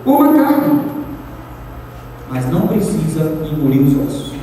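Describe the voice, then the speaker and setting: A man preaching a sermon, swinging from loud high outbursts to low asides. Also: big pitch swings, unhurried, noisy background. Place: large church hall. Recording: echoey hall from far off.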